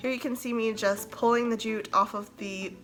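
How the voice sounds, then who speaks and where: A woman's voice: a little high, steady tone, normal speed, a bit bright, soft background music. A young woman with a congested voice from a cold, casually narrating a DIY tutorial as the host of her channel. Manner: chatty, self-deprecating, laughs between phrases.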